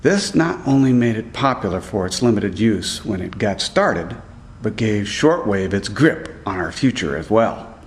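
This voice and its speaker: Low-voiced man reading a scripted report. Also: very animated, a little slow, a little hiss or hum. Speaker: student reporter and narrator. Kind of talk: scripted narration.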